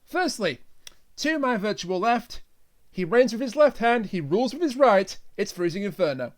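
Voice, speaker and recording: A man's voice: sits high, sing-song, normal speed. A man hosting a casual panel review show, introducing and steering the talk. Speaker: show host.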